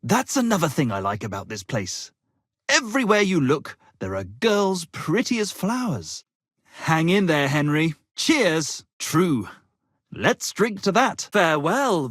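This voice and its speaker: An actor playing a pompous, flamboyant medieval poet and tavern musician in a video game, speaking in a florid, theatrical manner. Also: male voice, fairly high pitch, very animated, takes it slowly, fairly bright tone, no room sound, studio quality, heavily acted. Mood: jovial, florid. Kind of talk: theatrical game character dialogue.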